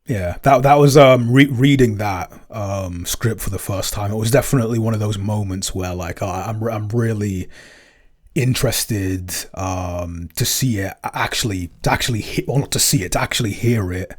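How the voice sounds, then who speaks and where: A man's voice: lowish voice, normal speed. A man co-hosting a podcast in casual chat, hesitant, with many "um"s and restarts. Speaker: podcast co-host, producer.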